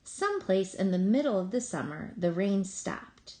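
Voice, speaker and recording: A woman reading a children's story aloud in an expressive, deliberate way with pauses between phrases. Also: mid-range voice. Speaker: storybook reader.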